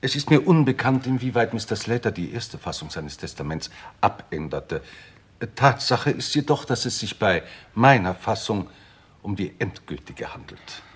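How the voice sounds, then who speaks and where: Lawyer, deep voice, husky, formal, precise, courteous, crime radio play. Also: male voice, sing-song, moderate pace. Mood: formal, polite.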